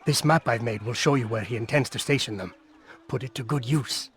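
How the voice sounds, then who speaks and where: Guy playing a helpful assassin spy in a video game, normal mid pitch. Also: fairly quick, natural voice. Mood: pleased, businesslike. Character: helpful male assassin informant offering intelligence.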